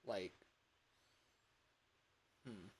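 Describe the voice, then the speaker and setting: A man's voice: extremely slow, a little mellow. A man chatting casually on a livestream in a rambling, distracted way. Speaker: game developer and livestream host. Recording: clear background hiss.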